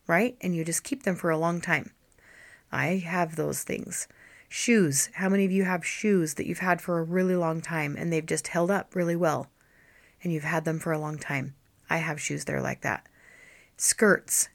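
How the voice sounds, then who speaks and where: A woman hosting a style podcast speaks in a low, deep register, her voice roughened and hoarse from allergies. She talks directly to her listeners in a chatty, encouraging way. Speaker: podcast host and style coach. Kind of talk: solo podcast talk.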